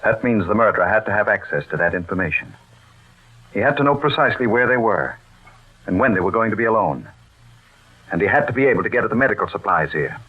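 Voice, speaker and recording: A commanding, grim army major in a vintage radio play. His low, husky voice delivers clipped phrases at a slow pace, over a thin, tinny recording. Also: expressive. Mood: accusing. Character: stern, decisive army major investigating murders. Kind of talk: old radio drama acting. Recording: tinny, band-limited old radio recording.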